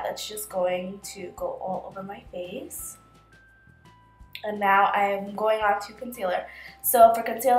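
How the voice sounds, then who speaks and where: A woman in her twenties, sick with a cold, chatting through a makeup tutorial in a small bedroom, talking into a camera close in front of her. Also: mid-range voice. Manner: chatty, filler-heavy, explains each step. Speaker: beauty vlogger. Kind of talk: casual makeup tutorial narration. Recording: roomy bedroom echo, faint music behind.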